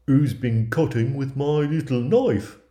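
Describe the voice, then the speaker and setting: A man's voice: medium pitch, unhurried, mellow, pitched above the performer's own voice, lightly acted. A man voicing small, fussy, kindly dwarves in a fairy tale, with an exclamatory, emphatic delivery. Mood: indignant, puzzled. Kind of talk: fairy tale character voices. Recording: roomy echoing recording.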